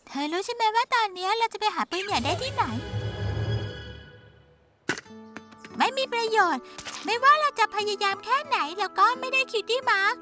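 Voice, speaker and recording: A woman voicing a spirited young pony girl in a dubbed cartoon, eager, expressive and emphatic. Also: extremely high pitch, quick pace. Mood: restless, let down. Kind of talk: animated cartoon dubbing. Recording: music behind the voice. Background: background music.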